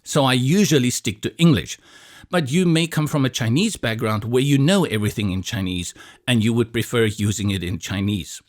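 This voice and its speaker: A man from South Africa, Afrikaans his first language, with a South African accent, calmly talking through a software tutorial step by step with a bit of light humor. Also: mid-range voice, normal speed. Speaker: tutorial instructor. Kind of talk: screen-recorded software tutorial.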